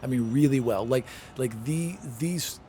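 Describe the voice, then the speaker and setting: A man's voice: medium pitch, a little slow, mellow, slight background noise. A man narrating and explaining a tabletop game as game master.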